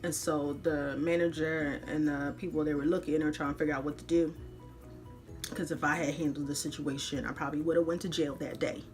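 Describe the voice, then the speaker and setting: A woman podcast host telling a personal story in an animated, colloquial way, dramatically acting out the dialogue. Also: low-pitched, a little fast, faint music behind.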